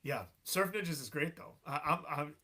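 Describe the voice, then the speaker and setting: Bright-voiced laid-back man. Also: fairly high pitch, normal speed. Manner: relaxed, laughs often, lots of fillers. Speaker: podcast guest. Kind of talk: casual chat.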